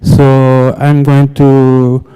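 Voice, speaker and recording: A man who speaks natively, giving a lecture in halting English, searching for words and leaning on fillers, recorded in a lecture hall. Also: medium pitch, monotone, warm tone, clear, resonant tone. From Chile. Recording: roomy lecture hall echo.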